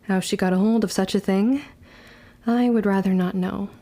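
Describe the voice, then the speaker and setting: Mellow voiced young witch played by a woman, wry and proper, old-timey wording, telling her story in an audio drama. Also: medium pitch, steady tone, lightly acted. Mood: wry reminiscence. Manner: formal, measured, old-fashioned diction. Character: young orphan witch, formal and wry, recalling her past. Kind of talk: period audio drama narration.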